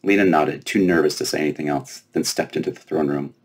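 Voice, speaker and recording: A man reading children's fantasy fiction aloud as its author, in expressive storybook narration. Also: very deep voice, fast talker. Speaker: author reading from own book.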